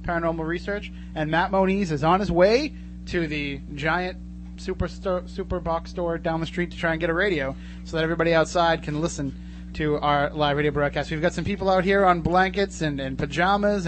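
A man's voice: a little high, steady tone, fairly quick, noisy background. A man hosting a live radio talk show, chatting and steering the program.

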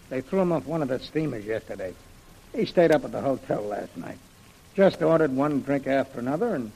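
An actor playing a bossy, impatient wharf hand with brusque, slangy talk in an old radio serial. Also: male voice, medium pitch, gravelly, a little mellow, poor audio. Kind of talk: 1940s radio serial acting. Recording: old radio recording, faint noise.

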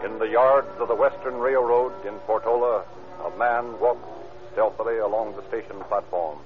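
A man announcing in a formal, emphatic 1930s radio style, delivering scripted narration and sponsor pitches. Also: steady tone, normal speed, over music. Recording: muffled, scratchy 1930s radio recording.

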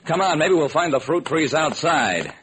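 Wry, animated radio detective. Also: male voice, on the high side, normal speed. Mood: inquisitive. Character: wry, sharp-witted detective hero, adult man. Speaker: narrator and lead actor. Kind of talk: old-time radio detective narration and acting. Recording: noisy vintage radio recording.

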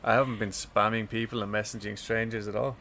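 This is an Irish man co-hosting a podcast, joking and chatting loosely. His voice sits low with a gravelly edge. A faint hiss of noise runs under him. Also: little pitch movement, quick pace, pushed and bright. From Ireland. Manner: joking, laughs easily. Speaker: podcast co-host. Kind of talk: casual chat.

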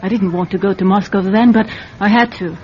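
A woman's voice: mid-range voice, brisk, slight background noise, close to an everyday voice. An actress playing a proud, emotional woman drawn into espionage in a radio drama, speaking with earnest, wounded intensity. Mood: haunted, sorrowful. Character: proud, emotional young woman volunteering as a spy. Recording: dull radio recording with faint music. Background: faint music.

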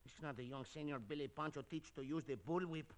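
An actor playing a loyal, pleading Mexican cowboy sidekick in an old radio western, speaking broken English with a Mexican accent. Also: male voice, fairly high pitch, a little fast, lightly acted. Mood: earnest. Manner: broken English with Spanish words. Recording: noisy vintage radio recording.

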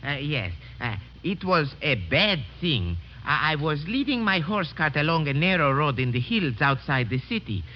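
A meek, wordy cart driver. His voice sits fairly high and leaps around as he haltingly defers to his betters. It is a dull, noisy old radio drama recording. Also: very animated. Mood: frightened, excited. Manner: halting, humble, stilted foreign phrasing.

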